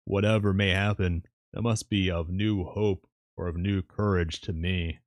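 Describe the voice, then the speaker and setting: A man's voice: low-pitched, fairly even intonation, unhurried, no echo, clean studio sound. A man doing grieving young woman Mina. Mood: sorrowful resolve. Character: brave grief-stricken young woman, Mina.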